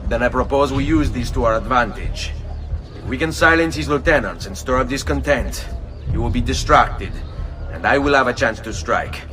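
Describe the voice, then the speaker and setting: An actor playing a determined, courteous assassin in a video game, speaking in a measured way with an Italian accent. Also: male voice, mid-range voice, pushed and bright, a little hiss or hum, natural voice. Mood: resolute. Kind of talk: video game cutscene acting.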